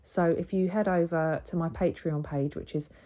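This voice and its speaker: A woman hosting a solo podcast, croaky and a little bunged up from a cold, rambling with many ums and self-corrections. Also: fairly low pitch, steady tone, moderate pace, mellow. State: croaky with a sore throat and blocked nose. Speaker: podcast host and astrologer. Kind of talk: casual solo podcast chat.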